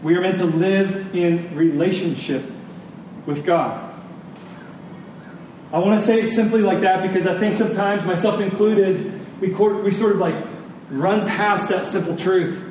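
Echoey, higher-voiced man preaching earnestly. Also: steady tone, darkish tone. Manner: earnest, emphatic, repeats key phrases with deliberate pauses. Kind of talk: sermon to a congregation. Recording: very echoey room, distant mic, faint noise.

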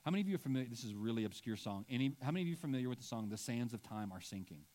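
A man's voice: mid-range voice, lively intonation, racing, mellow. A middle-aged man preaching a sermon in a church, conversational and self-deprecating, often checking in with "right?" and stumbling through restarts when he digresses. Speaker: pastor. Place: church sanctuary.

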